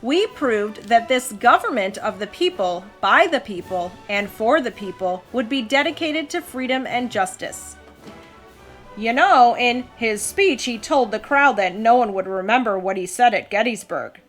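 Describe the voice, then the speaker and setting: Woman, higher pitch, children's read-aloud host, expressive delivery, casual asides to kids, background music. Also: a little slow. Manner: expressive, addresses child listeners with questions. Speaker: host, mother reading to her child.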